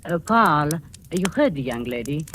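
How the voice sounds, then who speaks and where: A woman's voice: sits low, big pitch swings, moderate pace. An actress playing a domineering, sinisterly sweet woman of sixty in 1940s radio style, coaxing and commanding. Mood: sweetly bossy. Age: 60s. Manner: coaxing, commanding, with soft knowing chuckles. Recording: noisy old 1940s radio recording.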